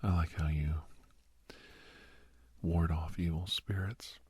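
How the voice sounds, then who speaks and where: A man playing a gentle, reassuring doctor in a soft-spoken ASMR roleplay, with a husky, breathy voice and halting, hesitant delivery. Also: low voice, steady tone, exaggerated voice. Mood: gently encouraging. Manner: soothing, hesitant, halting pauses. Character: gentle, reassuring male doctor counseling a nervous young werewolf.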